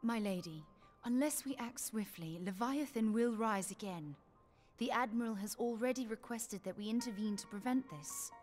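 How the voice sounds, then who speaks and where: An actress playing a composed, shrewd investigator in a fantasy video game, speaking formally in measured, old-fashioned phrasing. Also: female voice, a little high, darkish tone, faint music behind, close to an everyday voice. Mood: grave, urgent. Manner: formal, measured, archaic diction. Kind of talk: dramatic video game voice acting.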